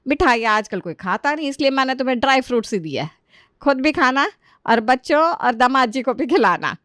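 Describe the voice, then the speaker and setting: A woman's voice: sits high, lively intonation, fairly quick, a bit bright, no room sound, studio quality, pitched above the performer's own voice. A woman voicing a doting, hospitable middle-aged mother in a story, effusive and reassuring.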